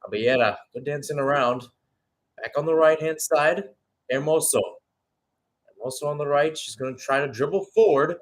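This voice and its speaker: A man with a fairly high-pitched voice commentates on football in a live radio style. He works as a play-by-play announcer, delivering the action in short, clipped phrases. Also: moderate pace.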